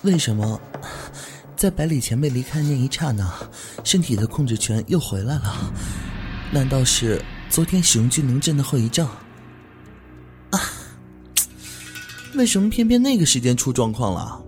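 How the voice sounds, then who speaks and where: A cheeky young apprentice, acted by a man chatters along in a playful, teasing tone. His pitch bounces freely up and down. He sounds bold and cheerful, like a lad who is sure he can talk his way out of trouble. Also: lively intonation, normal speed, long pauses, a little mellow, faint music behind, lightly acted. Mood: puzzled. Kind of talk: amateur audio drama acting.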